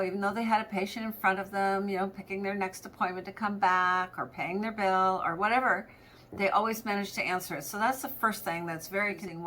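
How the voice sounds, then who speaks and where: A woman's voice: lowish voice, fairly bright tone, slight background noise. A middle-aged woman coach giving an informal, conversational training talk, explaining things with everyday anecdotes and examples. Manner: conversational, anecdotal, acts out example phone greetings. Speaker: practice management coach presenting a training episode.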